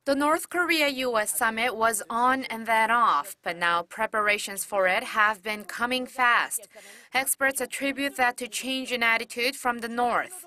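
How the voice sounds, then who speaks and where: A woman reporter reading a scripted news voice-over in a measured, formal way. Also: a little high, pushed and bright.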